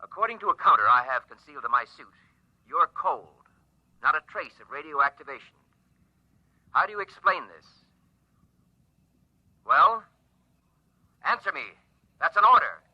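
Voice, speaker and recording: A man's voice: a little high, animated, moderate pace, long pauses, no echo. A man acting in an old-time radio drama as an authoritative, protective professor and father, commanding and dramatic. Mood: stern, probing. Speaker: radio actor. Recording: dull lo-fi vintage radio recording.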